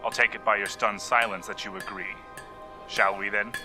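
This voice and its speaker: A man playing an urbane, inhuman immortal gentleman agent in an audio drama, dry, wordy and needling. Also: lowish voice, fairly even intonation, normal speed, over music, natural voice. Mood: cold, resolved. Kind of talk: scripted audio drama acting.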